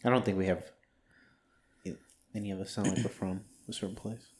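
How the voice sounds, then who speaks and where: A man's voice: deep voice, level tone, fairly quick, a little mellow, a little hiss or hum. A young man in a casual chat with friends, joking around and prompting the others to do accents.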